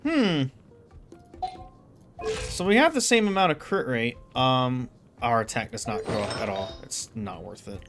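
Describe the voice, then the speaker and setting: A man's voice: medium pitch, lively intonation, a little slow, long pauses, music in the background. A man giving casual, rambling game commentary, thinking aloud as he streams.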